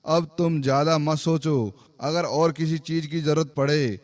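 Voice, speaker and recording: A man's voice: a little high, steady tone, normal speed, no echo, lightly acted. A man voicing a kindly lion king in a cartoon moral story, speaking with emphatic, fatherly authority. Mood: friendly, reassuring. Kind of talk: Hindi animated moral-story voice acting.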